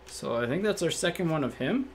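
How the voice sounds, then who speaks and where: A man with a slightly raspy voice hosts a card break, reading off each card in a relaxed, matter-of-fact way and thinking out loud. He is recorded indoors at a table by a fixed camera. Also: a little high, fairly quick, slight background noise. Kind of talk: casual card-opening commentary.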